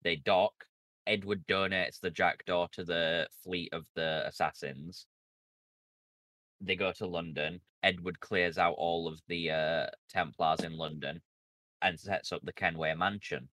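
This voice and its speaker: A male podcast co-host with a low voice who recaps game plots step by step. He corrects the others in a casual chat. The recording is dry and close. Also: fairly even intonation, a little slow, bright, forceful tone, no echo.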